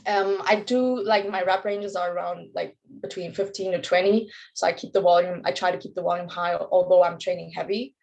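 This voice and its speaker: A woman's voice: fairly low pitch, fairly even intonation, a little fast, vocal fry, hardly any pauses, a little mellow, poor audio. A young woman from Germany with a German accent, chatting casually as an interview guest and athlete. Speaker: interview guest, competitive bodybuilder.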